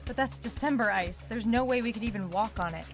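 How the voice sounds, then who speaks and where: A woman's voice: on the high side, fast, music in the background, close to an everyday voice. A woman playing a gutsy, sardonic young northern woman on the run in an audio drama, with a blunt, wry delivery. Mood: wry, exasperated. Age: young adult.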